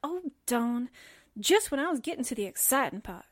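Woman acting a chatty, high-voiced 1940s girl assistant. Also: very animated, normal speed, a little hiss or hum. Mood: gossipy, dramatic. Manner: breezy, dramatic, wisecracking. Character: chatty, slangy, excitable young female newspaper assistant. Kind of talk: 1940s radio drama acting.

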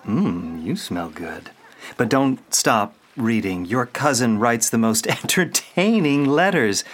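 A doting, suave gentleman of the 1930s speaks with lively, swooping intonation at a middle pitch. The style is old-time radio drama. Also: slow. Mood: flirtatious.

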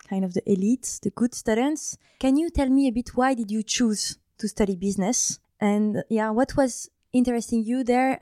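A woman's voice: medium pitch, dark tone, dry recording, studio quality. A woman interviewing a guest in a casual conversation, asking questions and giving short acknowledgments.

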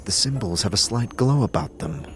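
A man narrating a fantasy audio drama in a measured, scripted storytelling style. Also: on the low side, animated, a bit bright. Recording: music behind the voice.

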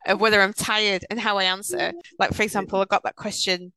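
Irish woman podcast co-host, husky-edged with a bright tone, chatty and animated. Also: medium pitch, poor audio. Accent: Irish, softened by years in Australia. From Ireland. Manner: animated storyteller, quick asides and laughs. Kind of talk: casual chat.

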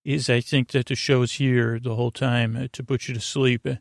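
A man hosting a bedtime podcast in a meandering, halting monologue that keeps interrupting itself, with a gently absurd, whimsical turn. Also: mid-range voice, little pitch movement, gravelly, dry recording, studio quality. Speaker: podcast host.

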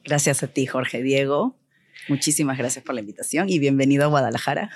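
A woman's voice: extremely low pitch, fairly quick, husky, dry recording. A woman from Peru, an architect and designer, telling stories as an interview guest. She speaks animatedly and acts out remembered bits of dialogue. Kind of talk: conversational storytelling.

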